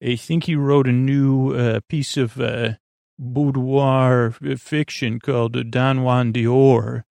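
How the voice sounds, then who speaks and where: A man's voice: mid-range voice, level tone, takes it slowly, creaky, darkish tone, no echo. A man hosting a sleep podcast, rambling through a meandering monologue full of restarts and tangents. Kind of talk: rambling late-night monologue.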